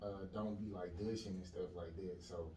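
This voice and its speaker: A man's voice: sits low, fairly even intonation, normal speed, warm tone, slight background noise. A man hosting a podcast, asking blunt, offhand questions in slangy talk. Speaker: podcast host. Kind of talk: casual interview chat. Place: echoey podcast room. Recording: echoey room, distant mic.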